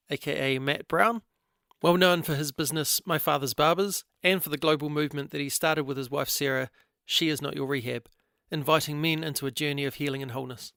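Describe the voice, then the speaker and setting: New man hosting, gravelly dry voice. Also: on the high side, fairly bright tone, no room sound. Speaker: podcast host. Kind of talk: scripted intro then casual interview.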